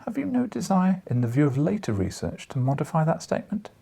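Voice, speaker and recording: A man voicing a formal, flattering letter read aloud in an audiobook, pompous and deferential. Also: fairly high pitch, quick pace, gravelly, voice pushed up, heavily acted. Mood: pompous flattery. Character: formal male letter-writer, flattering, earnest, quietly challenging.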